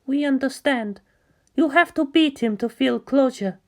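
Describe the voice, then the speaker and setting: Young zealot follower, female, woman performer: high-pitched, measured, bitter and disdainful, for scripted audio fiction. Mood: devoted, assured. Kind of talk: scripted audio drama reading.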